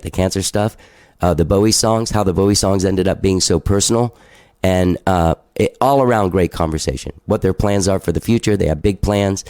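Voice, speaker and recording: A man's voice: deep voice, a little mellow, no room sound, clean studio sound. Chatty man with a stuffed-up nose. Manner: loose and chatty, swears, laughs at himself. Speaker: podcast host. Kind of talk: casual podcast monologue.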